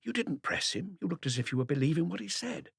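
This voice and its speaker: A man voicing a puzzled, deferential police colleague in an audiobook, in a lighter, higher voice. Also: big pitch swings, fast talker, vocal fry, slightly rough, no echo, clean studio sound, full character voice. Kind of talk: audiobook character dialogue.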